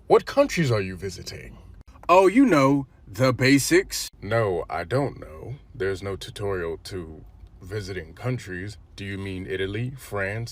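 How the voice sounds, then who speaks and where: Sarcastic man with a lively voice. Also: mid-range voice, dry recording. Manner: exasperated, sarcastic. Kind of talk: comedic sketch playing both sides.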